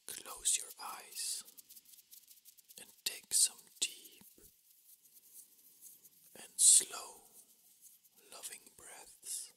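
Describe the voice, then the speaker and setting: A meditation guide whispering softly and breathily in an ASMR style, soothing, in short phrases with long pauses. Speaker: meditation guide, Reiki master.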